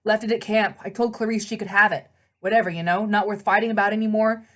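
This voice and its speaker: A woman's voice: mid-range voice, fairly even intonation, fast, a bit bright, pitched above the performer's own voice, lightly acted. A woman voicing a scrappy, flippant teenage boy archer in a storybook, talking in an offhand, slangy way. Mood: offhand, annoyed. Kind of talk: children's novel read-aloud character voice.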